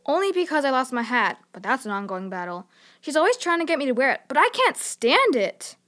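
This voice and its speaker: A woman's voice: sits high, sing-song, brisk, fairly bright tone, faint music behind. A female actor playing a sensible, curious young girl in a children's audio drama, earnest and frank in manner. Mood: wry.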